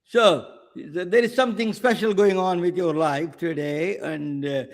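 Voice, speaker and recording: Man, Indian accent, webcast host, fairly high pitch, halting restarts. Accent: Indian English. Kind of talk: hosting an interview.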